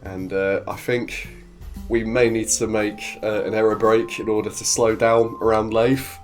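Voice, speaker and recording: A man's voice: on the low side, little pitch movement, measured pace, faint music behind. A man with a cold, hosting a video game series in a cheerful, chatty, rambling way while he builds and narrates. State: congested from a cold. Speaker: gaming video host. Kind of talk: casual gameplay commentary.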